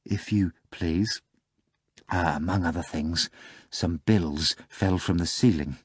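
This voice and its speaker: A man's voice: low voice, slow, slightly rough, no echo, clean studio sound, full character voice. A man voicing a timid, flustered middle-aged bartender in an audiobook reading. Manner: flustered, hesitant.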